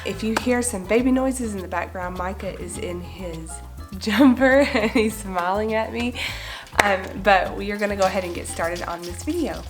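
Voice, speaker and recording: Woman, 20s, home vlogger with a cold; nasal and slightly raspy, casual and chatty, faint music bed. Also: medium pitch, normal speed. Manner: chatty, gestures with hands while explaining. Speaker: mom vlogger. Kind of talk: casual parenting vlog to camera. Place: bright living room indoors.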